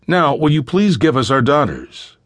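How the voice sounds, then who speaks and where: A man's voice: on the high side, sing-song, moderate pace, no room sound, voice pushed up. A man voicing an anxious father who tries to stay courteous and firm, in an audiobook thriller. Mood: firm, tense. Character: anxious father pleading for daughters, trying to sound firm.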